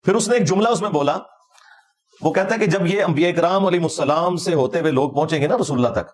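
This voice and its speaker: A man with a Pakistani Punjabi accent giving informal religious commentary in an argumentative, sarcastic, colloquial way. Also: on the high side. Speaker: religious lecturer.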